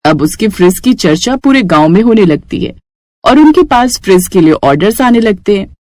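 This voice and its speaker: A woman's voice: medium pitch, expressive, normal speed, darkish tone, no echo, studio quality. A woman storyteller reading a scripted moral story for children.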